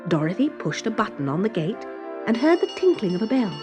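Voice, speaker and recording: Expressive woman storyteller, higher-pitched and very lively. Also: brisk, music in the background. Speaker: storybook narrator. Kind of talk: scripted children's story narration.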